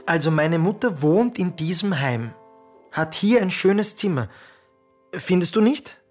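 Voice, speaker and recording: A gentle grown son. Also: female voice, lowish voice, lively intonation, a little slow, soft background music. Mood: patient. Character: patient adult son visiting his mother. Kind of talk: radio play acting.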